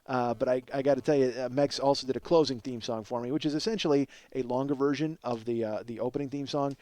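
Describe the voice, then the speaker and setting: A man's voice: medium pitch, fairly even intonation, quick pace, darkish tone, no room sound. An American man hosting a comedy podcast in a casual, rambling, self-deprecating and profane monologue.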